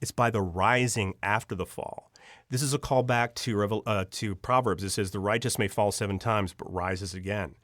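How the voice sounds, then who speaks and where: A man's voice: on the low side, steady tone, fairly bright tone, no echo. Earnest man telling stories, very dry recording. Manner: earnest, halting, repeats words as he goes. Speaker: audio drama writer and producer, interview guest. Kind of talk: conversational interview storytelling.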